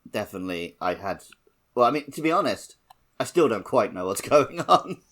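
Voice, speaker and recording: Low-voiced man chatting on a podcast. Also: big pitch swings, normal speed, fairly bright tone. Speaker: podcast host. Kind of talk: casual game discussion podcast.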